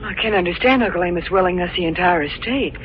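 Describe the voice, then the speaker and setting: A woman's voice: fairly low pitch, fast talker, natural voice. On a muffled, faintly noisy old radio recording, an actress plays an anxious young heiress. She questions people in the formal acting style of forties radio drama. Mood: impatient, curious.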